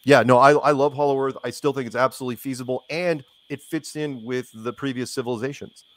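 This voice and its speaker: A man's voice: medium pitch, fairly even intonation, fast, a bit bright. A man talking casually as an interview guest, opinionated and rambling, repeating phrases for emphasis.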